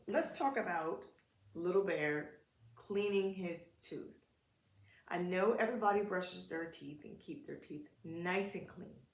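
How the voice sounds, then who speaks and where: A woman reading a picture book aloud to preschool children in short, simple phrases, talking to them directly. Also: medium pitch. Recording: echoey room.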